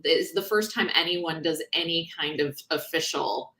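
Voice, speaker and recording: A woman author talking in a conversational interview, explaining things in an animated, informal way. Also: low voice, moderate pace. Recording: roomy, echoing sound.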